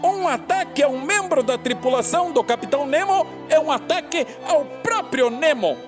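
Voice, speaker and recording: A woman's voice: sits high, lively intonation, normal speed, music in the background. A woman playing a proud, scolding ship captain in an audio drama, stern and pompous in delivery.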